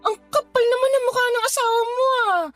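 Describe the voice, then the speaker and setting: A very high, sassy female voice from an actress as a protective cousin in a radio drama. She is blunt and indignant, with faint music behind her. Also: fairly even intonation, fast, fairly bright tone. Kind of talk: Tagalog radio drama dialogue.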